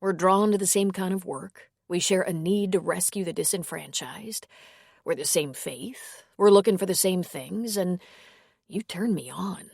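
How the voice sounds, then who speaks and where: A woman's voice: on the low side, measured pace, creaky, a little mellow, slightly rough, no echo, clean studio sound, heavily acted. A woman reading as an earnest former priest. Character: gentle middle-aged man, former priest, earnest. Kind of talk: audiobook character reading.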